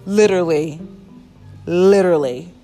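A woman's voice: on the low side, unhurried. Young woman on her podcast going off, curses a lot, super emphatic, faint music under it. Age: 20s. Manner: blunt, heavy swearing, animated and emphatic. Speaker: podcast host. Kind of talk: casual solo podcast rant.

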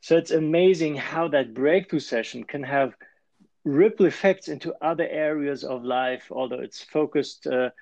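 A man's voice: on the high side, normal speed, vocal fry, a little mellow, dry recording. A man with a German accent, a coach talking as a guest in a podcast interview and explaining his methods with frequent restarts. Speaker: life and performance coach, guest.

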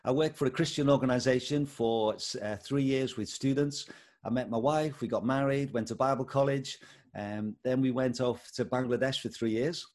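A man's voice: mid-range voice, moderate pace, darkish tone. An older man with a Liverpool accent, chatting as an interview guest about his life and work. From England. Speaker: guest, schools coordinator. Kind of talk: casual interview chat.

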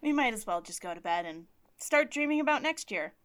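A woman's voice: a little high, expressive, fairly quick, a bit bright. A woman voicing an emotional female crewmate who gasps and talks theatrically in a comic audio drama. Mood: glum. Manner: gasping, theatrical.

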